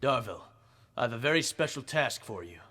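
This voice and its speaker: Scheming gang boss, man acting forceful, bright mid-range voice, deliberate and commanding, vintage radio serial. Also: medium pitch, animated. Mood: cold menace.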